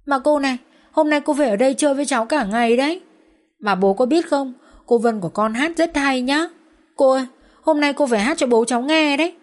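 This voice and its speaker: A woman's voice: high-pitched, brisk, pitched above the performer's own voice, lightly acted. A woman voicing a small, cheerful, clingy girl who speaks in a coaxing, pleading way. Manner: coaxing, pleading child speech.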